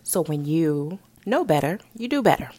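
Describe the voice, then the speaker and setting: A woman hosting a mental health podcast, a little raspy and nasal from a head cold, teaching in an upbeat, encouraging way. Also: low-pitched, very animated, moderate pace, dark tone. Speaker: podcast host. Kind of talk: podcast education talk.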